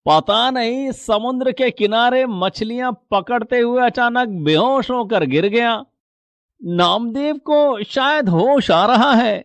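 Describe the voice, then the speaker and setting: A man's voice: very high-pitched, slow pace, no echo, exaggerated voice. Male VO: worried cartoon villager, urgent calling. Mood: alarmed. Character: concerned male village fisherman.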